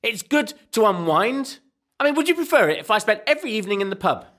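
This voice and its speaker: A man's voice: sits high, very animated, quick pace, a bit bright. A man playing an indignant, evasive husband who protests and hesitates in a radio comedy. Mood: defensive. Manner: protesting, hesitant when cornered.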